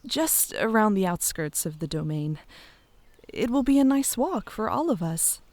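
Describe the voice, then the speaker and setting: Woman playing a caring, lively-voiced young princess. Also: mid-range voice, measured pace, dry recording. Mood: pleasant, decisive. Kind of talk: fantasy audio drama acting.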